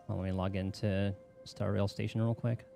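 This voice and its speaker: A man's voice: fairly low pitch, little pitch movement, moderate pace, gravelly, mellow, faint music behind. A man chatting casually on a game livestream, rambling and thinking aloud as he goes. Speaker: game streamer. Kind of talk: casual livestream chat while gaming.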